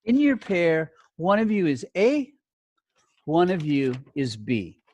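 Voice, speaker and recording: A man giving an instructional lesson as a teacher trainer, speaking deliberately in short phrases and often checking in with brief questions. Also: fairly high pitch, huge pitch swings, darkish tone. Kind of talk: instructional talk to learner teachers.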